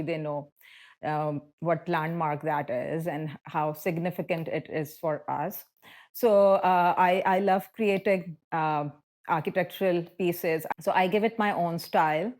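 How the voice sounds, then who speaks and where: A woman from Pakistan, an artist introducing herself in an interview, sincere and halting, often repeating words. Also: fairly low pitch, a little mellow. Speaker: artist and art instructor.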